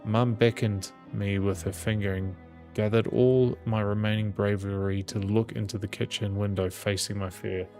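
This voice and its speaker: A man's voice: lowish voice, flat delivery, moderate pace, a little mellow. A man reading a visual novel aloud as dramatic narration, with small stumbles here and there. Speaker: gaming channel host reading the game aloud. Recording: music behind the voice. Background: game music.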